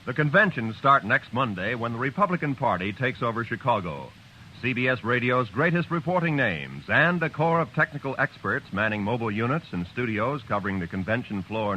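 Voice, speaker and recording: A man with an American accent announcing in 1950s network radio style. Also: mid-range voice. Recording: dull, noisy vintage radio recording.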